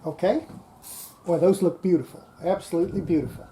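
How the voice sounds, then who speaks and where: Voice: mid-range voice, brisk, dark tone, slight background noise, poor audio. A person narrating hands-on restoration work in a casual way, talking through each step while working. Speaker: host demonstrating radio restoration.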